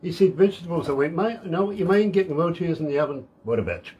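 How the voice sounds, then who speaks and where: A middle-aged man with a New Zealand accent hosts a radio show, his voice sitting slightly high. He spins long, deadpan jokes and quips at his guests in a relaxed studio chat. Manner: cracks deadpan jokes, drawn-out setups. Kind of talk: casual joking interview.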